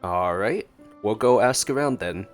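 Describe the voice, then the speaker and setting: A man's voice: fairly low pitch, lively intonation, a little slow, faint music behind. A man streaming a game with casual, playful reaction commentary, talking back to the characters. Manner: playful, reads along and talks back to characters. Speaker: gaming streamer.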